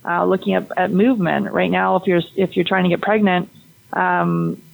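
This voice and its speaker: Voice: medium pitch, flat delivery, normal speed, creaky, rough recording. A fertility health practitioner explaining testing and diet in a conversational interview, often restarting and repeating words.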